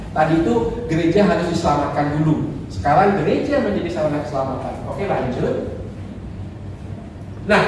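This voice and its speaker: A man, a priest, giving a lively sermon talk, acting out quoted voices and joking with the audience. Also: fairly high pitch, distant mic, background noise. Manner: animated, acts out quoted voices, jokes with audience. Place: church hall. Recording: echoey hall recorded from afar.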